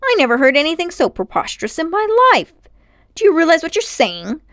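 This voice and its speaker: A haughty older gentleman magician, acted out by a woman reader, vain and cruel, speaks in grandiose, indignant phrases. The storybook character sounds close and dry. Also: high-pitched, very animated, fairly quick, no echo, voice pushed up, exaggerated voice. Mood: offended, flustered.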